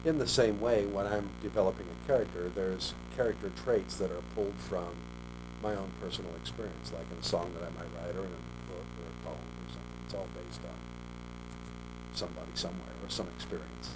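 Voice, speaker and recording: A man in his forties with a lowish voice, from Australia, who chats in long, loose sentences and often starts over. A clear electrical hum runs under the studio recording. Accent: American English, faint Australian trace lost. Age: 40s. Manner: relaxed, talks in long loose sentences with restarts. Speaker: author guest. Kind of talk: casual TV interview chat.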